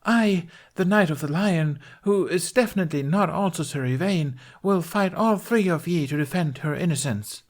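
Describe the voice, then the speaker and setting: A man's voice: on the high side, normal speed, hardly any pauses, pitched above the performer's own voice, close to an everyday voice. A man voicing a theatrical fairy woman who plays a gallant, bombastic knight in archaic stage speech for a story. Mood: bold challenge.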